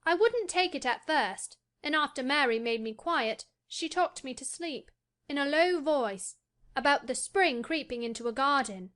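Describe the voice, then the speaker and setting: A woman's voice: high-pitched, lively intonation, a little slow, fairly bright tone. A woman voicing a fretful, lordly young invalid boy in an audiobook dramatic reading, haughty and commanding. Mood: self-assured.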